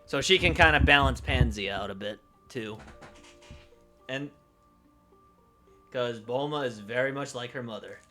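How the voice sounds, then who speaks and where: Slow, halting man streaming casually. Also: medium pitch, bright, forceful tone, faint music behind. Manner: halting, trailing off mid-thought. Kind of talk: casual livestream chat, thinking aloud.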